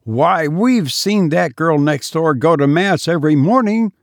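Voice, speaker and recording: A man voicing a peremptory, indignant mistress of the house in a storybook reading, with a sharp, impatient, demanding manner. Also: on the high side, expressive, unhurried, no room sound, clean studio sound, voice pushed up.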